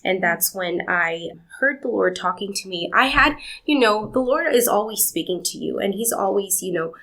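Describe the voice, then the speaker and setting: A woman's voice: medium pitch, expressive, moderate pace, low-quality recording. A young woman from Argentina, answering interview questions in a rambling, storytelling way. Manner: warm, rambling storytelling with laughs. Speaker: podcast guest.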